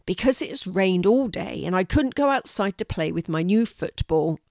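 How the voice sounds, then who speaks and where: A woman's voice: lowish voice, animated, normal speed, gravelly, darkish tone, no echo, clean studio sound. A woman voicing a grumpy little boy, whiny and pleading in a read-aloud story. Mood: cross. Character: young boy, grumpy and cross about rain.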